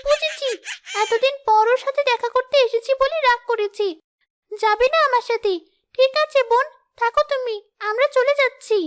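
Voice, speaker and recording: A performer voicing a naive, eager little ghost schoolgirl with a squeaky childlike voice in a children's cartoon. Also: moderate pace, a bit bright. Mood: naive, pleading. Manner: eager, innocent.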